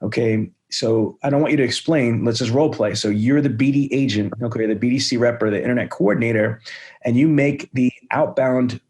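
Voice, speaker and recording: A man's voice: medium pitch, fairly quick, darkish tone. A man hosting a sales podcast, talking with energy and enthusiasm, praising and setting up role plays. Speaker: podcast host, sales trainer.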